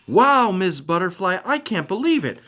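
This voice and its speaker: Man doing an eager, high-pitched little butterfly. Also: normal speed, full character voice. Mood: eager, curious. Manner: excitable, inquisitive. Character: eager, wide-eyed little male butterfly. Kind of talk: storybook read-aloud.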